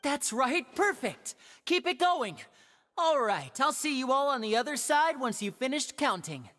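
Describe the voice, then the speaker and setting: A suave, boyish young magician from a video game. He is confident and polished, with a very animated showman's delivery. His voice rings in a hall over faint music. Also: high voice, unhurried, exaggerated voice. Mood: playful, confident. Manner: theatrical, polished, courteous. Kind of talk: video game character acting, stage patter.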